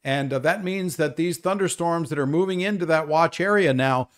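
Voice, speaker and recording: A man's voice: a little high, normal speed. A man presenting a live, unscripted weather briefing, explaining things in a conversational way.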